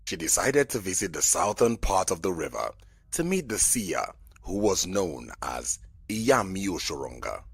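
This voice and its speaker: A man narrating a scripted folk tale as a storyteller. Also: deep voice. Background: background music.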